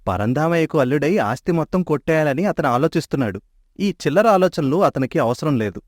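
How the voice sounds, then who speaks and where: An actor playing a schemer's mocking sidekick in a storybook tale, sarcastic and pushy. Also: male voice, fairly high pitch, lively intonation, fairly quick, no room sound, clean studio sound, close to an everyday voice.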